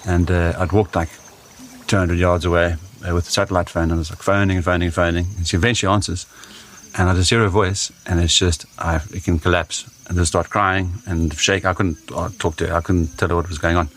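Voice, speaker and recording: A man with a deep voice tells expedition stories off the cuff. He is halting but vivid, repeating words for effect. Water and outdoor sounds are clearly heard behind him. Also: flat delivery, a bit bright, slight background noise. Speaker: expedition leader recounting experiences. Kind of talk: spontaneous first-person storytelling. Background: water and outdoor ambience.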